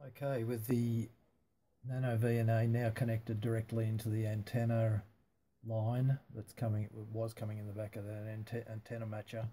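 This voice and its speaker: A man talking casually and haltingly through a hands-on technical demonstration, unscripted and matter-of-fact. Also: on the low side, monotone, dark tone. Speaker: amateur radio hobbyist demonstrating antenna tuning.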